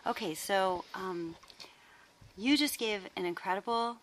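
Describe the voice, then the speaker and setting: A woman's voice: mid-range voice, unhurried, low-quality recording. A woman hosting a podcast interview, with an enthusiastic manner and frequent small laughs. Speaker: podcast host. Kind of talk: interview chat. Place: outdoors at a summer conference.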